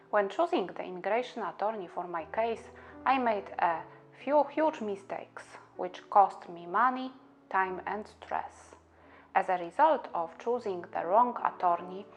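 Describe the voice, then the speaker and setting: Polish-accented woman attorney, earnest and measured. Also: medium pitch, muffled recording. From Poland. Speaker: immigration attorney. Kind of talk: scripted promotional monologue.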